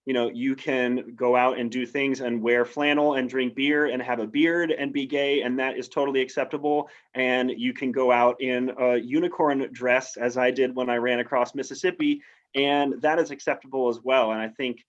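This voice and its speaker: A man from the United States, a guest in a casual interview, speaking in an upbeat, persuasive way over a video call. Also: medium pitch. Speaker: interview guest, outdoor adventurer. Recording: slightly dull, lo-fi audio.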